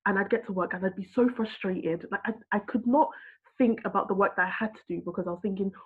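Woman, healthcare worker, husky, earnest, phone-quality audio. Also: medium pitch, fast. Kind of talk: personal account in a discussion. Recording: husky voice over a tinny phone-like line.

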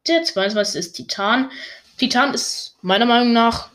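A woman's voice: on the high side, normal speed, fairly bright tone. A young woman casually reading a long list, laughing at her own pronunciation. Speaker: video host listing moons.

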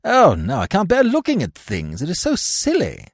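A stiff young gentleman, played by a male narrator, pompous and quick to indignation. The pitch moves dramatically, and the recording is dry and close, in audiobook manner. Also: wildly swooping pitch, slightly rough, dry recording, heavily acted. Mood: irritable.

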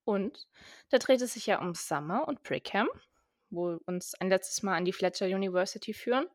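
A woman's voice: mid-range voice, expressive, normal speed, dry recording, studio quality. A woman co-hosting a book podcast, upbeat, reading out categories and summing up books in casual chat. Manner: upbeat, reads out lists and summaries. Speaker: podcast co-host.

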